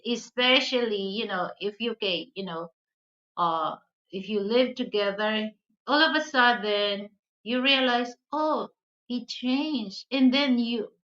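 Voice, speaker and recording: A woman with a Filipino accent chats casually to her viewers in a bright, forward voice. She often circles back and repeats words as she builds a sentence, taking her time. The sound is roomy and somewhat dull. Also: on the high side. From the Philippines. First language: Hiligaynon. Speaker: video channel host. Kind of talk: casual vlog chat with viewers.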